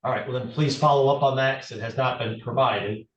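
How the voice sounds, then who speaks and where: A man's voice: medium pitch, fairly even intonation, brisk, fairly bright tone, dull audio. A middle-aged man presiding as judge, talking procedurally in a courtroom on a video call. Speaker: judge presiding over a family court hearing. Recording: roomy, distant courtroom microphone on video call.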